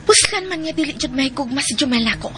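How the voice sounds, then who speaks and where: Over a clear music bed comes a scheming, spiteful woman of radio drama, very high-pitched. Her Cebuano Filipino delivery swings widely and threatens the child she addresses. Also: expressive, quick pace. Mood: gloating, vengeful. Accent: Filipino, Cebuano-speaking.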